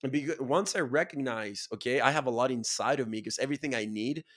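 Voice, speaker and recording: Guy from chile hosting a podcast, middle pitch, real earnest and emphatic, lots of bro and dude. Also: fast talker, a bit bright. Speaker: podcast host. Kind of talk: casual motivational conversation.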